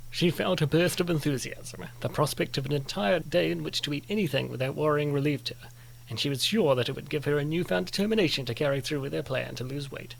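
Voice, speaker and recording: A man's voice: on the high side, quick pace, gravelly, slight background noise, low-quality recording. A man narrating a scripted story for a comic dub.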